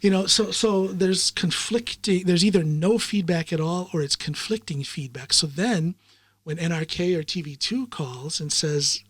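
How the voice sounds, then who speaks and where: Rambling man, slightly high voice. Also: a little slow, husky, dry recording. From United States. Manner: rambling and reflective, many ums and uhs. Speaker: podcast host in the guest chair. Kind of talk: casual interview chat.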